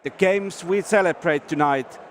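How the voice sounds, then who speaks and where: A man with a Finnish accent hosting an awards ceremony, giving a formal speech with deliberate, self-deprecating jokes. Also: a little high, slight background noise. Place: historic hall, live event.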